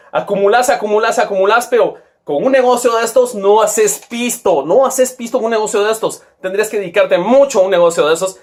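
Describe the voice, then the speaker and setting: A middle-aged man with a Guatemalan accent, telling a rambling, crude story in casual slang. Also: sits high. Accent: Guatemalan Spanish. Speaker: toy seller and vlogger.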